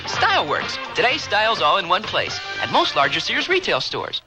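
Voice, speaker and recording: A young man plays a confident teenage boy in a radio commercial. His voice is high and swings brightly with enthusiasm. Loud music plays under the scripted pitch. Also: wildly swooping pitch, normal speed. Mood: upbeat, confident. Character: style-conscious teenage boy, confident and upbeat.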